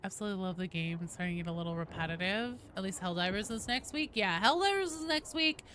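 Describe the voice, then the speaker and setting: Bright-voiced woman chatting on a game stream. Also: mid-range voice, lively intonation, fast talker, a little hiss or hum. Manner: informal, sweary, chatty with viewers. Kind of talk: casual live gaming stream commentary.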